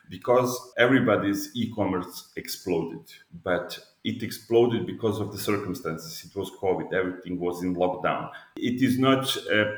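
A man's voice: on the low side, echoey room. A man from Serbia with a Serbian accent, giving explanatory interview answers step by step with examples. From Belgrade, Serbia. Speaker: podcast guest, e-commerce business owner.

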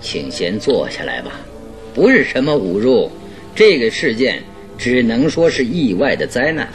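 An actor playing a shrewd, self-assured gentleman detective in a radio drama, with a theatrical manner. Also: medium pitch, wildly swooping pitch, measured pace, soft background music. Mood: calm, placating. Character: shrewd, jovial gentleman detective, calm and in control. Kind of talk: radio drama acting in Mandarin.

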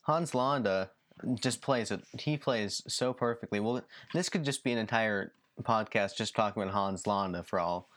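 A young male podcast host with a somewhat low voice. He talks casually and with excitement, laughs often and cuts in over his guest. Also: moderate pace. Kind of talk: casual chat.

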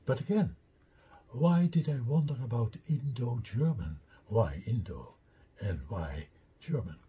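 An older man with a Dutch accent, a native Dutch speaker, giving a deliberate slide lecture and explaining his own theory step by step. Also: mid-range voice, expressive, warm tone, a little hiss or hum.

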